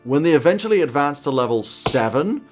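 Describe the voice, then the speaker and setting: A man's voice: fairly high pitch, big pitch swings, brisk, a little mellow. A man in his thirties presenting scripted commentary to camera in an emphatic, enthusiastic way. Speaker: tabletop roleplaying video host. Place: small home office, indoors. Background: background music.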